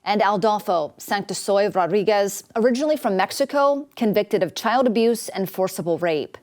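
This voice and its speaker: A woman's voice: medium pitch, lively intonation, moderate pace, darkish tone. A woman with an American accent delivering scripted news narration as a reporter.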